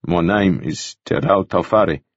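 A man voicing a calm, grave Maori man who speaks tersely and quietly. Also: low-pitched, moderate pace, no echo, dull audio, pitched below the performer's own voice.

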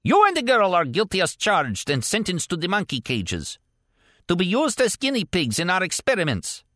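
A man voicing a mad, pompous middle-aged warlord who blusters and shouts in a high, theatrical voice. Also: wildly swooping pitch, normal speed, dry recording, clean studio sound, heavily acted. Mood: blustering.

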